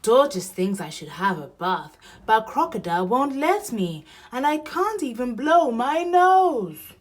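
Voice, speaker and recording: A young storybook elephant played by a woman, eager and plaintive. The voice is raised high and swings widely, drawing words out into exclamations. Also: very animated. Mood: upset, plaintive. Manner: exclaiming, drawn-out wailing.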